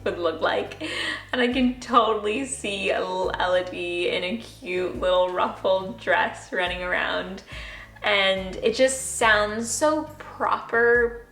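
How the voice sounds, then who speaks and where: Bubbly woman vlogger in a roomy space. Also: mid-range voice. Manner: gushing and bubbly, with soft giggles. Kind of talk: casual chat to camera. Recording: roomy echo with faint music.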